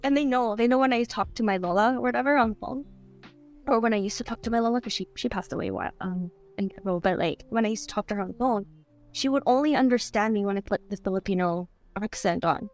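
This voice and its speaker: A woman's voice: a little high, quick pace, soft background music. A woman from Canada, chatting casually as a podcast guest in a rambling way, full of "like". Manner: rambling, chatty, heavy use of "like". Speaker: guest author.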